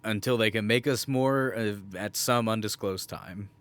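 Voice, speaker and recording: A man's voice: fairly low pitch, normal speed, a bit bright, clean tone. A man co-hosting a podcast in a casual, bantering, joking way. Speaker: podcast co-host. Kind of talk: casual chat.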